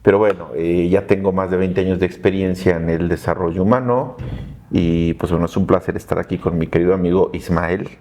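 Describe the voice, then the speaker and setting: A man, a coach who speaks in a measured, reflective way on a podcast. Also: sits low, steady tone, darkish tone, a little hiss or hum. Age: 40s. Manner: measured, reflective, with many "eh" fillers. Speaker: life coach and psychologist. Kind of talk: casual podcast chat.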